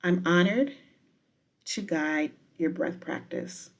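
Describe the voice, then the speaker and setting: Slow-paced woman guiding meditation. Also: on the low side, gravelly. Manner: unhurried, deliberate, with frequent pauses. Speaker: professor guiding a breathing meditation. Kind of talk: guided meditation with spoken poetry.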